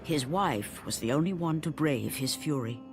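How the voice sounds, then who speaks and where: A man's voice: on the high side, expressive, moderate pace. A man acting as a grave, ominous narrator of an ancient Greek tragedy in a video game. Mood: solemn, ominous. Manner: grave, theatrical. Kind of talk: dramatic scripted game narration. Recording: loud music under the voice.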